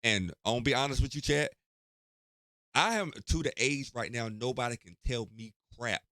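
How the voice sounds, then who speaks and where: A man's voice: medium pitch, moderate pace, sharp tone, dry recording, clean studio sound. A man in his forties co-hosting a casual podcast, blunt and emphatic with stammered restarts. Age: 40s. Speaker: podcast co-host.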